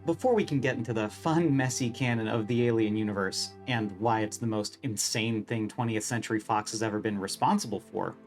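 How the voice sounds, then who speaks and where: A man narrating a scripted video essay in an emphatic, wry way, leaning on deliberate repetition. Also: mid-range voice.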